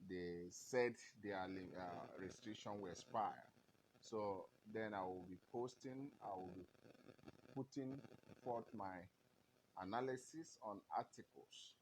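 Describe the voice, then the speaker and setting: A man talking to viewers on a live stream, earnest and halting, with many fillers and restarts. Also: lowish voice, background noise. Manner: earnest, addresses viewers directly.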